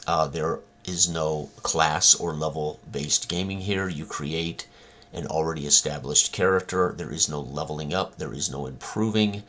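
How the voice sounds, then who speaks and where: A man giving an informal, rambling talk as a tabletop game designer, with a halting delivery and frequent hesitations. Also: a bit bright. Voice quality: husky, very deep.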